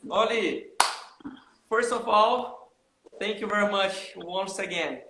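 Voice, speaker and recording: Bright-voiced lively male host. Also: sits high, takes it slowly, roomy sound, low-quality recording. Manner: lively, greets viewers by name. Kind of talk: live video chat, switching to halting English.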